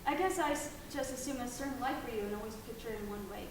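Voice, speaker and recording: A woman's voice: fairly high pitch, fast talker, close to an everyday voice. A woman playing a loving but suspicious mother who keeps asking anxious questions of her grown daughter. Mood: tender. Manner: anxious, questioning, rising to alarm. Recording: echoey room, distant mic, noisy ambience.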